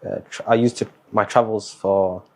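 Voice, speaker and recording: Young man, low voice, relaxed. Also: moderate pace, creaky. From Somali, born in the Netherlands, raised in England. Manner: relaxed, hesitant, many fillers, Arabic words mixed in. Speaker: guest, university student. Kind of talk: casual interview chat.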